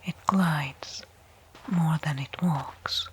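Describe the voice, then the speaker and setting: A woman playing a tense stranded space explorer in a breathy, hushed ASMR audio roleplay monologue, phrasing deliberately with pauses. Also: low-pitched, slight background noise. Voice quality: breathy, unvoiced whisper.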